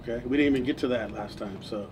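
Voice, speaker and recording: A man's voice: medium pitch, quick pace, darkish tone, recorded from a distance, a little hiss or hum. A man hosting a casual podcast group chat, blunt and joking as he steers the questions. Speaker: podcast host.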